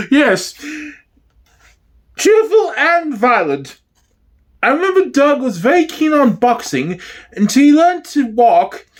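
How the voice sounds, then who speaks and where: Guy doing a gossipy old east end lady, really high swoopy voice, fond and cheery, sketch comedy. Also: heavily acted. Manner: chatty, gossipy, blithely cheerful. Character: cheery elderly East End woman neighbor, fondly nostalgic.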